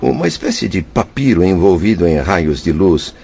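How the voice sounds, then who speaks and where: A man's voice: low voice, big pitch swings, moderate pace, creaky, mellow, breathy edge, heavily acted. An actor playing an anguished, repentant male spirit in a radio drama, plaintive and formal in his wording. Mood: moved, hopeful. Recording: muffled lo-fi audio with music. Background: background music.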